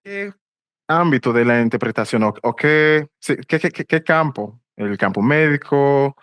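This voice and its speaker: A man's voice: mid-range voice, expressive, unhurried, a bit bright, clean tone, no echo. A man hosting a podcast interview, asking questions hesitantly with many fillers and repeated words. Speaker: podcast host. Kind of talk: casual interview conversation.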